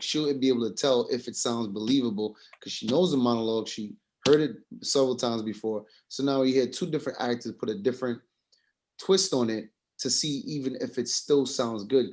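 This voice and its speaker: Man thinking aloud, haltingly. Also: mid-range voice, darkish tone. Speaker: participant in a film class group meeting. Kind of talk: casual class discussion.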